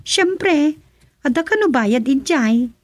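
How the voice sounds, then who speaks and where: Woman doing a nagging young wife, worried, pitch jumps all over, dry close mic. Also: animated, takes it slowly, dry recording. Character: young wife Rosa, worried and sharp-tongued. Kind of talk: radio drama acting.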